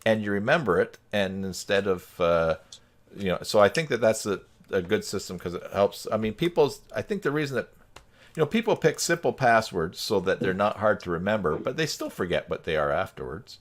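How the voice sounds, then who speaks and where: A man's voice: fairly low pitch, animated, brisk. A man hosting an informal live group video chat, talking in a casual, rambling way. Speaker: live stream host.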